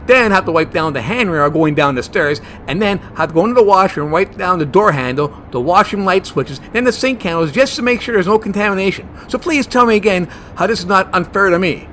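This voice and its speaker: A man plays a fussy, germ-phobic monster hunter in a comedy horror audio drama. His voice sits fairly high. He argues earnestly and stubbornly, over faint background noise. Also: expressive, a little fast, few pauses, fairly bright tone. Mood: indignant.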